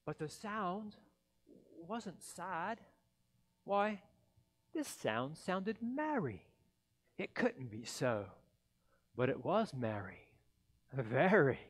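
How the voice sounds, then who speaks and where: An American man in his thirties reading a children's story aloud theatrically, with deliberate dramatic pauses, into a headset mic. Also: fairly high pitch, very animated. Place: indoor church beside a Christmas tree.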